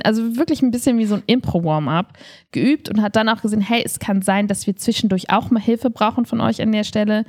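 A woman chatting casually as a podcast co-host, telling stories in an animated way and slipping into quoted dialogue. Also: medium pitch. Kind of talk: casual conversational storytelling.

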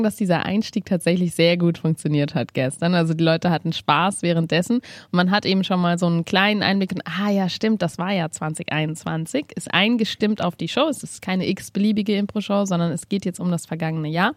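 A woman's voice: lowish voice, brisk, hardly any pauses, dry recording, studio quality. A woman co-hosting an improv podcast, chatting casually on a couch with a stuffy, nasal voice from a cold. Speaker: podcast co-host. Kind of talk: casual chat.

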